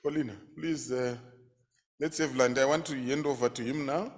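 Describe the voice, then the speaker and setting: A man's voice: medium pitch, fairly even intonation, normal speed. A man giving a formal, earnest workshop presentation in a conference hall. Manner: earnest, hands over to speakers.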